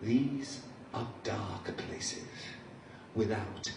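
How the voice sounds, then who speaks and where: A man's voice: fairly low pitch, very slow. A man from Liverpool, a drag performance poet, bantering camply with a live crowd and reciting his poem. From Liverpool, England. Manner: camp, flamboyant, chatty with the crowd. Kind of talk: live stage poetry with banter. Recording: echoey room from far off, noisy.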